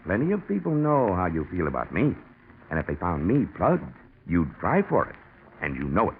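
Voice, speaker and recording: An actor playing a big, bluff, ruthless business partner with a gloating, taunting manner in a 1940s radio drama. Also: male voice, low voice, huge pitch swings, moderate pace, heavily acted. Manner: gloating, taunting, hard-headed. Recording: dull, noisy 1940s radio recording.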